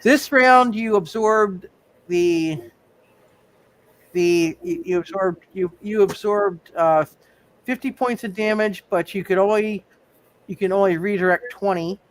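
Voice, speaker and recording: A man running a live tabletop superhero game as game master, thinking aloud in halting phrases and repeating his words. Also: high-pitched.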